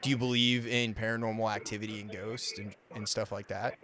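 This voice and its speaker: A man chatting casually and informally, in a halting, hesitant way. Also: lowish voice, flat delivery, fairly bright tone. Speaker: prank caller and podcast guest. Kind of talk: casual, hesitant phone chat.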